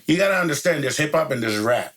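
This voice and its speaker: A man's voice: a little high, expressive, quick pace, fairly bright tone. A middle-aged man from El Salvador, a DJ guest chatting casually in laid-back street slang, laughing often and saying "you know" a lot. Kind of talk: casual interview chat.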